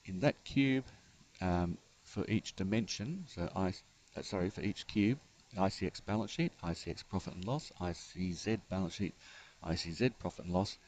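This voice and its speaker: Man, deep register, technical tutorial narration, halting, methodical step-by-step explanation. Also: darkish tone, poor audio. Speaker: software developer giving a demonstration. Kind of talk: unscripted screencast tutorial narration.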